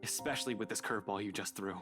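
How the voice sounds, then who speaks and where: A man's voice: fairly low pitch, rapid-fire, lightly acted. A man voice-acting a bewildered, earnest young man in a visual novel. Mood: bewildered, pleading. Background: background music.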